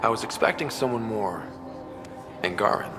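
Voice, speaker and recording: A man's voice: fairly low pitch, animated, normal speed. A man playing a confident, dry young space explorer in a video game, matter-of-fact and wry. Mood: guarded, dry. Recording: music and effects behind.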